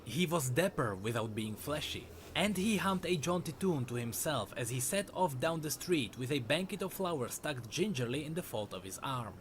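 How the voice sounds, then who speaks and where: A man's voice: a little high, animated, moderate pace, hardly any pauses, a little hiss or hum. A man narrating a dramatic scripted story as a storyteller.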